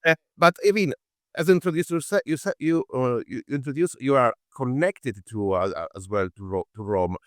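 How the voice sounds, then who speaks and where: Halting male radio interviewer. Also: medium pitch, no echo, clean studio sound. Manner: halting, restarts, non-native phrasing. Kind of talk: interview questions.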